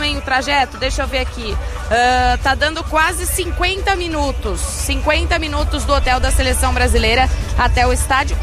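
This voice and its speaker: Female reporter describing a stadium arrival live. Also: high voice. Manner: descriptive running commentary, quick lists of names. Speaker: field reporter. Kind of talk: live sports news reporting.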